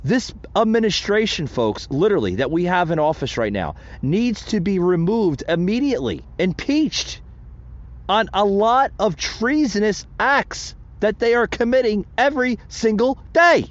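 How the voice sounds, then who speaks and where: A man's voice: high voice, animated, measured pace, highs cut off. A man hosting a podcast, giving impassioned solo political commentary and often calling out to his listeners as folks. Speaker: podcast host.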